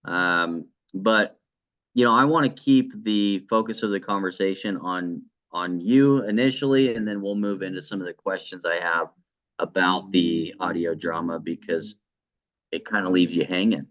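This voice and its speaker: Brief: male interviewer, very low voice, casual and encouraging, slightly dull lo-fi sound. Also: moderate pace. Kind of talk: conversational interview.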